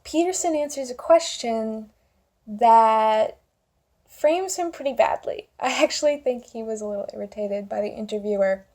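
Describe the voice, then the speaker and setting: High-pitched, creaky young woman, informal. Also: moderate pace, dark tone. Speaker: video commentator. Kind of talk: casual opinion vlog to camera.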